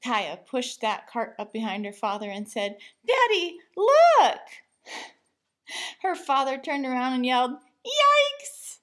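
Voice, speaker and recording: A woman reading a children's picture book aloud as an expressive storyteller, with deliberate emphasis. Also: a little high.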